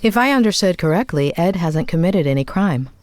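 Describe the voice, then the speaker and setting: An actress playing a composed, analytical female psychotherapist in a video game drama, speaking in a measured, reflective way. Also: lowish voice, expressive, a little mellow, no room sound, lightly acted. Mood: guarded. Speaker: therapist, doctor. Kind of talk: video game drama acting, inner monologue and dialogue.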